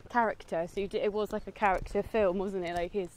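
A woman's voice: mid-range voice, steady tone, quick pace, darkish tone. A woman, casually chatting through a film review while walking outside. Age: 20s. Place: outdoors, walking on a woodland path. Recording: outdoor ambient noise.

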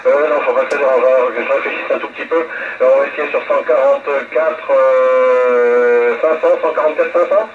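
A man's voice comes through a radio receiver, thin and tightly band-limited. He is an amateur operator chatting casually, at a middle pitch, in a conversational way with small tags on his phrases. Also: fairly even intonation, moderate pace. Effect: heard through a radio receiver. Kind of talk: casual radio contact chat with call signs. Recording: thin narrowband radio transmission over speaker.

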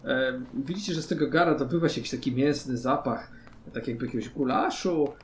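A man's voice: medium pitch, a little fast. Man game-mastering in a roomy space. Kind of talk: narrating a tabletop fantasy role-playing game. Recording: roomy, faint background noise.

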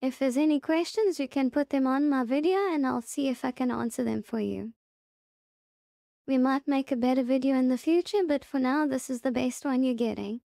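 A woman presents a casual software walkthrough in a high, mellow voice. She instructs one step at a time and circles back to remind the listener of key points. The sound is very dry, with no room around it. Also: normal speed, vocal fry, no room sound. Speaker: tutorial presenter. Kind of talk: casual software tutorial narration.

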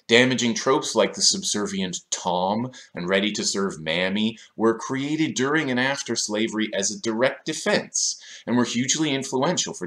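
Sardonic man with very lively intonation. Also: medium pitch. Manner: sardonic, rhetorical questions. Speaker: video essayist. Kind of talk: scripted video essay commentary, with quotes read aloud. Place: small room indoors.

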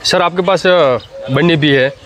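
A man hosting a farm video, eagerly asking a farmer questions outdoors on a dairy farm and murmuring quick agreement as he listens. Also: a little high. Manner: eager, repeats "ji ji ji" softly while listening. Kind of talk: on-site interview about dairy animals.

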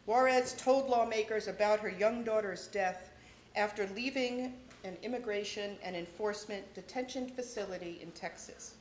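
A middle-aged woman at a podium giving a measured, formal welcome speech read from notes. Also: mid-range voice, a little hiss or hum. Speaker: event organizer introducing speakers. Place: large lecture hall, at a podium. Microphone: podium microphone. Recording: echoey hall.

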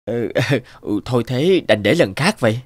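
A man voicing a shy, kind young single father in an animated drama, hesitant and bashful. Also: mid-range voice, moderate pace, lightly acted. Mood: flustered.

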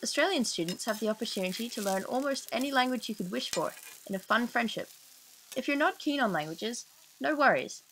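A woman's voice: fairly high pitch, expressive, a little fast, fairly bright tone, slight background noise, low-quality recording. A young woman student presenting a program in an upbeat, scripted voice-over. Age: young adult. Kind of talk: scripted promotional narration. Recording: voice-over with clear background music.

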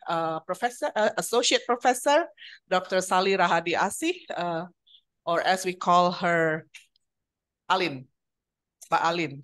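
A woman with an Indonesian accent opens a university event in a seminar room, speaking into a handheld mic. She talks slowly and haltingly, pausing often with fillers. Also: fairly low pitch, fairly bright tone. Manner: hesitant, many fillers. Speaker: event host. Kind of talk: opening announcements.

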